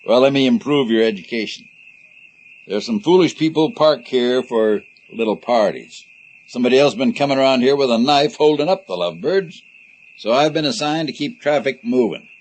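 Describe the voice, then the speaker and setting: A man's voice: medium pitch, expressive, moderate pace, darkish tone, lightly acted, low-quality recording. An actor in a radio drama playing a curt, sardonic policeman with a dry, commanding manner. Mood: stern, sardonic. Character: gruff, sardonic patrol policeman, curt and authoritative.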